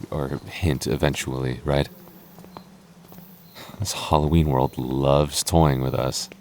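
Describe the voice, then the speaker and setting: A man's voice: very deep voice, a little mellow, a little hiss or hum. A man playing an anxious, protective goth boyfriend in an audio roleplay, stammering and wry as he talks closely to his partner. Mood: anxious, tender. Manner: stammering, halting, nervous, wry.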